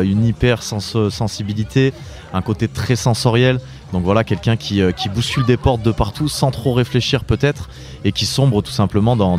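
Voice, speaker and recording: A man in his late twenties from southeastern France answering interview questions conversationally, often pausing and repeating himself as he searches for words. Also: fairly low pitch, a little mellow. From Drôme, southeastern France. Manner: hesitant, searching for words, repeating phrase starts. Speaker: interview guest, author and entrepreneur.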